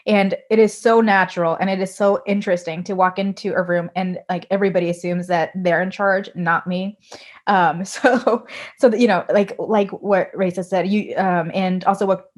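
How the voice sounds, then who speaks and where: Chatty woman resident, lowish voice. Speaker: surgical resident. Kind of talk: panel discussion.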